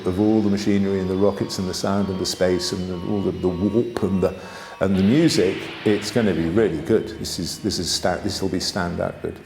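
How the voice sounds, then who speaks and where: A man in an interview, searching for words with many halting restarts. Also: low-pitched, fairly even intonation, vocal fry, darkish tone, roomy sound, in a large hall, soft background music. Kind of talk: casual interview.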